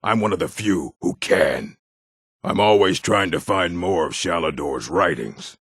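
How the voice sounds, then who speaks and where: A scholarly orc speaks in a low, husky rumble. His delivery is deliberate and learned, gruff yet bookish, like a keeper of arcane books in a fantasy video game. The recording is dry and close. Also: expressive, a bit bright, no echo, full character voice. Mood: intrigued, explanatory. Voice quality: deep, gravelly husky voice. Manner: measured, deliberate, learned diction. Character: scholarly male orc librarian of a mage college, gruff but bookish.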